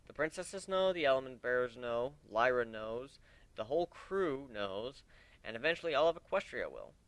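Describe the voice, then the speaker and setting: A man voicing a brash, cocky young griffin captain in a dramatic story reading, with a sarcastic, excitable manner. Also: mid-range voice, dry recording. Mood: low, reasoning.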